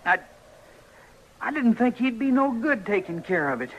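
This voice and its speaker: Very high-pitched, swooping rural American boy. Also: very animated, moderate pace, a bit bright, full character voice. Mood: sheepish, defensive. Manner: folksy, earnest, stammering when cornered. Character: scared, defiant, cocky runaway frontier boy. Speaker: actor in a radio western. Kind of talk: old-time radio western acting. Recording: noisy old radio drama recording.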